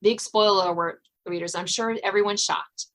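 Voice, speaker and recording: A woman hosting a podcast, with a bright, forceful tone. She chats loosely, laughs easily and fills gaps with "um" and "you know.". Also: mid-range voice, fairly even intonation, moderate pace. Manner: chatty, laughing, many fillers. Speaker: podcast host. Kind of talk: casual interview chat.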